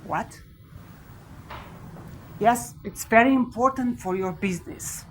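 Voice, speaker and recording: A woman in her forties with a Romanian accent, giving a live talk to an audience with animated storytelling and frequent laughter. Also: on the high side, slow pace, slight background noise, rough recording. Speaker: speaker. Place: large event hall with an audience.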